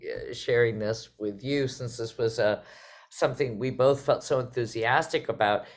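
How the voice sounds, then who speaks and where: Woman, middle-aged, casual host, self-interrupting, conversational, video call. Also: extremely low pitch. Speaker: host, theatre artistic director. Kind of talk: casual hosted panel conversation. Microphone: video call microphone.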